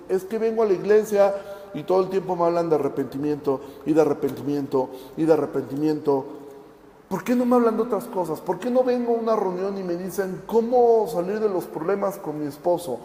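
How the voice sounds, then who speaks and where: A man with a high, mellow voice preaches earnestly and poses rhetorical questions. He acts out the complaints of the people he addresses. His voice rings through a roomy hall with a long reverb. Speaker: pastor. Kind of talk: sermon.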